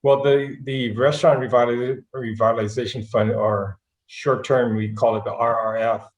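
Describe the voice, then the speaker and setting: A man who is a government branch office manager explaining a funding program, halting with frequent restarts. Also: mid-range voice, steady tone, echoey room, highs cut off. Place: video call.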